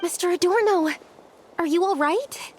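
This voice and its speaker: An earnest young companion, high-voiced and polite, asks careful, concerned questions. Game score music plays underneath her lines. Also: normal speed, slight background noise. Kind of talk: video game voice acting.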